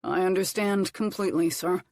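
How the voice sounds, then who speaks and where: A reserved earl with a gentle, measured way of speaking, voiced by a woman narrating a storybook. His lines stay close to her own lowish voice, calm and dry. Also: dry recording, close to an everyday voice.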